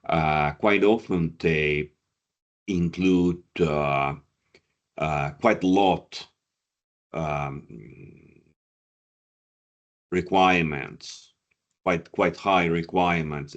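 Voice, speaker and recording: Very deep, slow, halting man. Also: dull audio. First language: Finnish. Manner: halting, many fillers and repeated words. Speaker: career coach and presenter. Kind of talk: answering audience questions after a talk.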